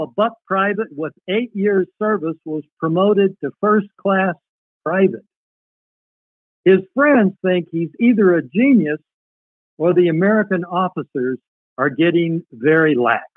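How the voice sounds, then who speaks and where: A man's voice: high voice, unhurried, dry recording. An older man being interviewed, talking and reading old letters aloud. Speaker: podcast guest reading a relative's wartime letters. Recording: tinny, phone-like call audio.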